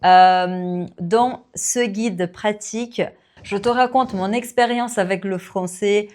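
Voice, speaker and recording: A woman who teaches French and hosts her own channel, answering viewer questions in a relaxed Q&A, with many fillers and small laughs. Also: medium pitch. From Brazil. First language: Portuguese. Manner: slow, warm, relaxed, with frequent fillers and little laughs. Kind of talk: casual Q&A to camera.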